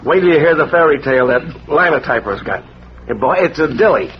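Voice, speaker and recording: A man's voice: fairly high pitch, expressive, brisk. An actor playing a skeptical, sarcastic police sergeant in 1940s radio drama, needling and full of tough-guy slang. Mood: sarcastic, mocking. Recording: noisy muffled vintage radio recording.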